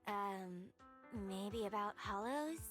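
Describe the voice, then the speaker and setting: A woman's voice: medium pitch, animated, slow pace, fairly bright tone, soft background music. A woman voicing a teenage schoolgirl in anime-style game dialogue. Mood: hesitant, low-key. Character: teenage schoolgirls chatting about a group project.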